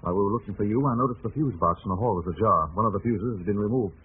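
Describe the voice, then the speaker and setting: Fast-talking, commanding radio detective. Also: male voice, fairly low pitch. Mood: urgent, resolute. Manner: crisp, urgent, authoritative. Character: commanding, quick-thinking male amateur detective, protective and resolute. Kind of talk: vintage radio mystery acting. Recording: tinny, hissy old radio recording.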